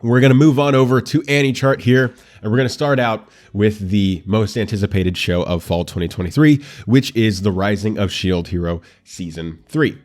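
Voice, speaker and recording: A man's voice: fairly low pitch, normal speed, few pauses, a little mellow. A man hosting a casual anime commentary show, congested and nasal from allergies, with a dry throat, chatting straight to his viewers. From United States.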